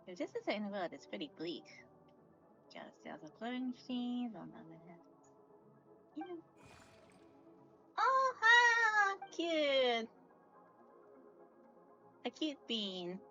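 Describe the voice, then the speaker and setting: Animated, unhurried woman streamer, slightly muffled. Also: fairly high pitch, soft background music. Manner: musing, reacting in short exclamations. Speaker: streamer playing a game. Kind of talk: casual gameplay commentary.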